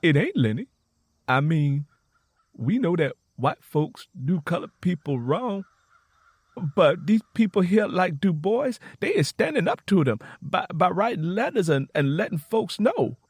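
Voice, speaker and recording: Man voicing an eager young Southern woman, high-pitched. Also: a little slow, breathy edge, no room sound, heavily acted. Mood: earnest, hopeful. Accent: American South. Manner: earnest, excitable. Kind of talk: audiobook character dialogue.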